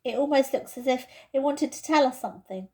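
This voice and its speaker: An earnest, impassioned young girl from a children's storybook, as a woman reader puts her on. She speaks high and emphatically, with a pleading edge and a soft tone. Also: a little fast, lightly acted. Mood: eager.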